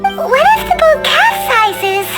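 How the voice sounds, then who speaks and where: A woman voicing a tiny, excitable floating girl companion with a squeaky, gushing, exclamatory way of talking, over game music. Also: takes it slowly, heavily acted. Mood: curious.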